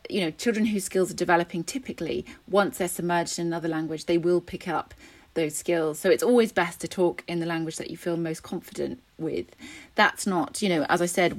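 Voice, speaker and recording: A woman in her thirties, a speech and language therapist, explaining things earnestly as a guest in a podcast interview. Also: fairly low pitch.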